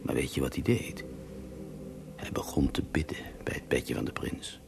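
An actor playing a pious, self-righteous Russian mystic healer in a radio drama, devout and wounded in manner. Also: male voice, very deep voice, normal speed, gravelly, whispered. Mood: hushed, soothing. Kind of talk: radio drama acting, mock interview.

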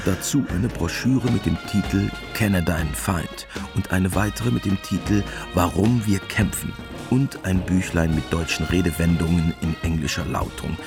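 An actor narrating a war story in a German radio play as a measured storyteller, in a dry, matter-of-fact manner. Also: male voice, deep voice, over music.

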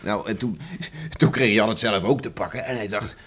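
An actor playing a hearty rural beachcomber farmer who tells tall stories in a folksy, jovial way in a Dutch radio play. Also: male voice, on the low side, moderate pace, fairly bright tone, slightly rough. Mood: laughing, gleeful. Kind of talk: Dutch radio drama acting. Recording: noisy old radio play recording.

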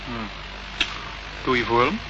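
A man's voice: mid-range voice, expressive, measured pace, lightly acted. An actor playing a guarded male police sergeant in a Dutch radio play, dry, grudging and cautioning. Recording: noisy, muffled old radio recording.

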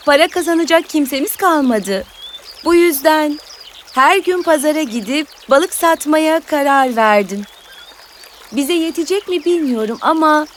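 A woman playing a gentle, patient young elder sister in a children's fairy tale, speaking earnestly. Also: sits high, slight background noise.

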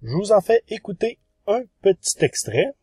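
A man's voice: sits high, sing-song, normal speed, warm tone, husky, no room sound, clean studio sound. A man, hosting a podcast and presenting reviews of audio shows in a monologue. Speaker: podcast host.

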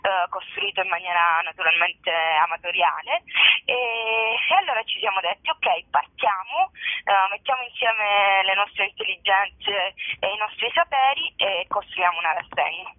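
Female phone-in guest for a casual radio interview. Halting delivery, searching for words, narrow dry phone sound. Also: medium pitch, no room sound. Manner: hesitant, searching for words with drawn-out pauses. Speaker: organizer of a theatre festival, guest. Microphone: telephone. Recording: tinny narrowband telephone line.

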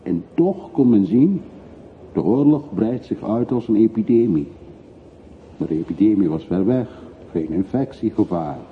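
A dull, faintly noisy old radio play recording carries a middle-aged man who once emigrated. His voice is on the low side. He is reticent and reflective, weighing his words in a measured way. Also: animated. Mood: detached. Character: wealthy-born middle-aged emigrant returning home, reserved, reflective. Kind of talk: radio drama acting.

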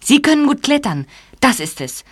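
A woman's voice: sits high, sing-song, normal speed, slightly rough, dry recording, heavily acted. Woman as an alert boy detective, dry close sound. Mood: probing. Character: alert, practical young boy detective, held captive. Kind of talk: audio drama acting.

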